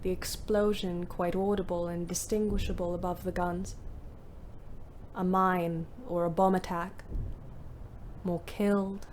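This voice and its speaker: A woman's voice: lowish voice, slight background noise, lightly acted. An actress playing a weary, bitter young ambulance driver, narrating her wartime diary expressively in an audio play. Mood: weary, bleak. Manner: measured, literate, expressive. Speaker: narrator and lead character.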